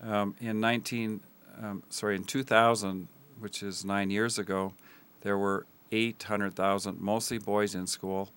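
Man, deep flat smooth voice, earnest guest with halting fillers. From Tanzania. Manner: earnest, many ums and uhs. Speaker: guest, school builder. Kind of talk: interview answers.

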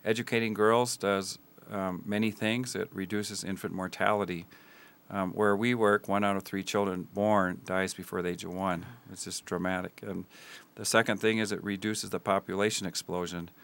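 A man's voice: low-pitched, fairly even intonation, normal speed, creaky, a bit bright. A man who grew up in Tanzania, answering interview questions earnestly with frequent ums and uhs. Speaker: guest, school builder.

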